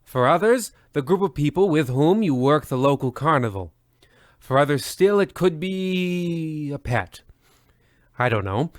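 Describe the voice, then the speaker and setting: A man playing a pompous, deadpan horror-anthology host, narrating in a formal old-time radio style. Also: fairly high pitch, a little slow, no room sound, studio quality.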